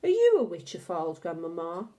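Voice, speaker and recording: A woman's voice: lowish voice, wildly swooping pitch, brisk, warm tone, pitched below the performer's own voice. A woman voicing a curious, anxious young boy in a storybook, with an inquisitive way of talking. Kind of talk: storybook character reading.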